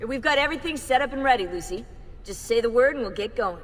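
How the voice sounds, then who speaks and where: An actress playing an upbeat young tech expert, boastful and enthusiastic, in a game cutscene. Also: female voice, on the high side, fast talker, big-room sound. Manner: excitable, boastful. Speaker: technician running the machine.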